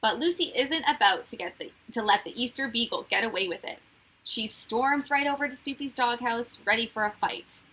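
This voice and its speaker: A woman narrates a children's storybook expressively, like a teacher reading to young pupils. Her voice is bright and forceful, fairly high, with a noticeable husky rasp. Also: moderate pace.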